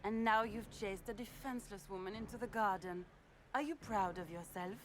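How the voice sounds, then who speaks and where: A woman's voice: mid-range voice, moderate pace, slight background noise, natural voice. A woman playing a haughty, scheming noblewoman villain in a video game, taunting and theatrical with mock courtesy. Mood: taunting, smug. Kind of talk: dramatic video game acting.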